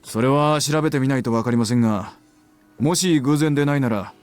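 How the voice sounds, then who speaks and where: A man's voice: medium pitch, very fast, soft background music, close to an everyday voice. An actor playing a brooding, analytical middle-aged male detective in a Japanese visual novel.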